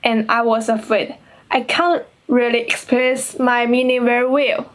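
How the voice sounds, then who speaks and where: A woman's voice: on the high side, level tone, measured pace. A young woman from China with a Chinese accent, an international student giving an earnest, carefully paced assembly speech in her second language. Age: young adult. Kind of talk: prepared school assembly speech.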